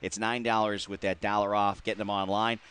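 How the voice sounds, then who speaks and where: A man from the Upper Midwest hosting a local hockey talk show, chatty and quick to laugh, with many filler words. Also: lowish voice, level tone, a bit bright, a little hiss or hum. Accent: Upper Midwest American. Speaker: host. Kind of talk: casual sports talk.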